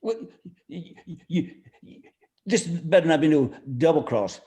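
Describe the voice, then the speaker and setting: A man's voice: a little high, lively intonation, a little slow, dark tone. An actor playing a dim, menacing mobster henchman with a stammer in a campy noir radio play. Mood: bumbling menace.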